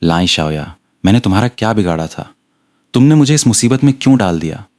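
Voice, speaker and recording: A man voicing an excitable, blustering show director who exclaims dramatically. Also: on the low side, fairly quick, close to an everyday voice. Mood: exasperated.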